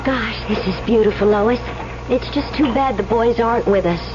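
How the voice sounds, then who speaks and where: A woman's voice: on the high side, normal speed, over loud music, lightly acted. An actress playing an innocent, trusting young woman in an old-time radio mystery, with a hesitant, girlish manner. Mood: delighted. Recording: dull, noisy old radio recording.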